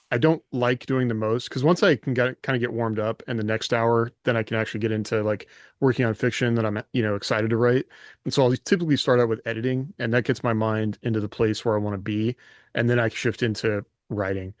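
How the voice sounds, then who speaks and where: A man's voice: medium pitch, no echo, studio quality. A man hosting a podcast in a casual, chatty style. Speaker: podcast host. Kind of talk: casual chat.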